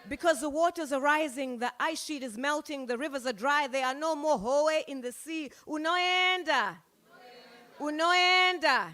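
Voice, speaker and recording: A high-voiced woman from Zimbabwe, a native Shona speaker, performs spoken word on a small club stage. She leads the room in a rhythmic, chanted refrain. Her tone is bright and ringing. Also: measured pace. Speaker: open mic poet.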